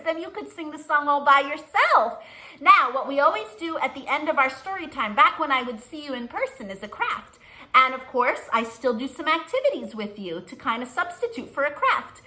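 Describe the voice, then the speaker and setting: Children's librarian, American woman: high, bright, very animated, encouraging, speaking directly to little kids. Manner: animated, encouraging, talks to small children with many questions. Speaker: children's librarian and storytime host. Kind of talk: children's storytime read-aloud and chat.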